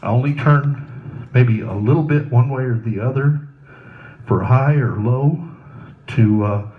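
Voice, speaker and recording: A man giving a slow, plain hands-on demonstration of setting up a sound system indoors, pausing often with fillers. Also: medium pitch, phone-quality sound. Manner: unhurried, plain instructions with frequent pauses and fillers. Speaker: ministry volunteer demonstrating sound gear.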